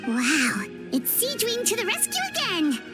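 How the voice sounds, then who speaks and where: An extremely high, squeaky little fairy sidekick, childlike and chatty. Her delivery swoops wildly in excitable game-character style, with music clearly behind it. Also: a little slow, full character voice. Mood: perky, curious. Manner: squeaky, excitable, refers to herself by name. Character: tiny perky female fairy companion, childlike and chatty. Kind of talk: English video game voice acting. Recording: game dialogue over prominent music.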